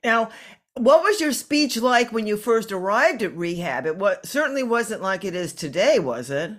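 A woman's voice: mid-range voice, sing-song, moderate pace. A woman interviewing a guest, asking questions and responding supportively. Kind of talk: conversational interview.

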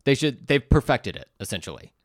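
A man's voice: mid-range voice, very animated, a little fast, no echo, clean studio sound. A man co-hosting a casual comedy podcast, joking crudely and enthusiastically as he chats. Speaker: podcast co-host. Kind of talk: casual comedic banter, taste-testing sodas.

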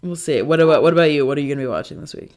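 An American co-host with a mid-pitched voice talks casually, off the cuff. The delivery is hesitant and rambling, with thoughts that circle and restart in a relaxed, conversational way. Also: very fast. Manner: hesitant, rambling, heavy use of "like". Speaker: co-host of a TV discussion show. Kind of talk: casual unscripted chat about television.

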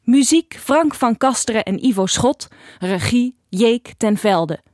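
A woman's voice: fairly high pitch, expressive, slow pace, a little mellow, no echo, studio quality. A woman announcer reading scripted podcast credits.

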